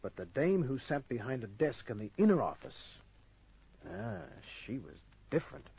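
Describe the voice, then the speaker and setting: Husky hard-boiled detective. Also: male voice, mid-range voice, sing-song, moderate pace, dark tone, full character voice. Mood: wry narration. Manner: hard-boiled, dry, clipped. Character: tough, flirtatious wisecracking male private detective. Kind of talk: old-time radio crime acting and narration. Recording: muffled old radio recording.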